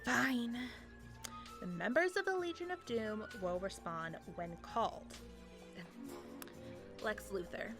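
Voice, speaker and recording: A woman's voice: a little high, lively intonation, slow pace, long pauses, music in the background. A woman playing a sardonic, impatient female supervillain in a comic radio play, dry and snippy. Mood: annoyed. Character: irritable, sardonic female supervillain stuck taking roll call.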